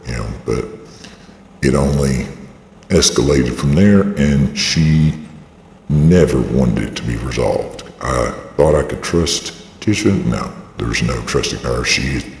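A man's voice, shifted extremely low by a voice changer, with a gravelly creak. He rambles through a personal statement in a halting, aggrieved way, repeating himself. The sound is cavernous, with long reverb. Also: darkish tone, a little hiss or hum. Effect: pitch-lowering voice changer. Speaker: vlogger giving a personal statement.

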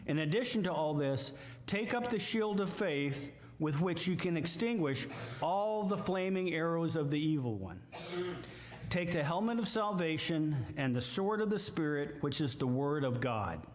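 An older man with a Midwestern American accent, a guest preacher giving a slow, emphatic sermon with scripture readings from a church lectern. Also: a little high, lively intonation. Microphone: lectern mic, far camera. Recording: echoey church hall from far off.

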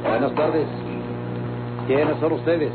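An actor playing a condescending, commanding hacienda boss with a Mexican accent in an old radio drama. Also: male voice, fairly high pitch, slow. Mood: curt, condescending. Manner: curt, commanding. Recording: tinny old radio recording, loud music.

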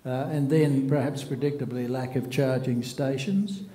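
Older male conference speaker, mellow tone, halting delivery, reverberant hall. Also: medium pitch, steady tone. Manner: halting, many fillers and restarts. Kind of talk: presenting survey results. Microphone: podium microphone. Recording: echoey hall.

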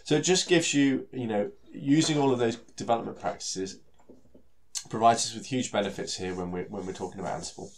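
A man with a British accent and a head cold that makes him sound nasal and stuffy, presenting a technical talk in a relaxed way, full of fillers and repeated words. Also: mid-range voice, brisk, slight background noise. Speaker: solution architect giving a technical talk.

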